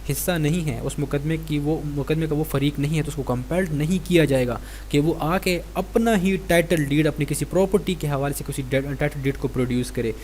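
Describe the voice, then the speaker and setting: Higher-pitched Pakistani man lecturing over hum. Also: fairly quick, hardly any pauses, darkish tone. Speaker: law lecturer. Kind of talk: explanatory lecture, reading statutes aloud then explaining. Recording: clear background noise with hum.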